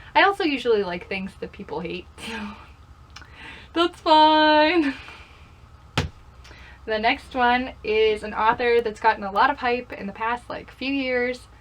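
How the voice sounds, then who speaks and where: Young female vlogger, higher-pitched voice, casual and chatty, pokes fun at herself. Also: animated, moderate pace. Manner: self-deprecating, playful, rhetorical questions to herself. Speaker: book vlogger. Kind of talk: casual chatty vlog to camera. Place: bedroom.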